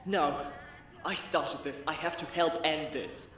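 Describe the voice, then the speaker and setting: A man's voice: a little high, unhurried, a bit bright. Young hero for a man: wry, thinks aloud, lightly raised voice, heard at a distance. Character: resourceful young male hero, quick-thinking. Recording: echoey, distant, noisy.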